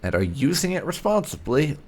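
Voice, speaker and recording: A man's voice: fairly high pitch, big pitch swings, a little fast. A man giving casual game playthrough commentary with light roleplay, musing aloud and reacting as the host. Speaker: gaming channel host.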